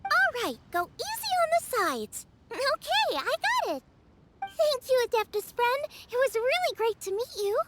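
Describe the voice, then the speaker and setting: A woman's voice: very high-pitched, very animated, measured pace, noisy background, full character voice. A woman voicing a spirited, friendly teenage girl chef in an anime video game, youthful, perky and eager. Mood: grateful. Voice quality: youthful, girlish.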